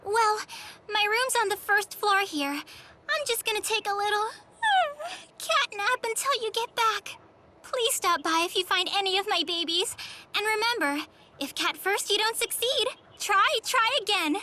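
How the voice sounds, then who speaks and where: A woman's voice: extremely high pitch, measured pace, bright, forceful tone, full character voice. A woman playing a theatrical, helpless cat-loving lady in a video game, gushing and melodramatic. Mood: coy pleading. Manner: gushing, melodramatic, pun-laden.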